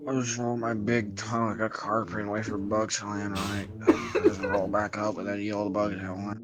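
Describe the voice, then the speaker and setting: A young man on a podcast, improvising deadpan comic anecdotes in a casual, understated, halting way. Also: lowish voice. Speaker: podcast member. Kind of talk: improvised deadpan comedy chat.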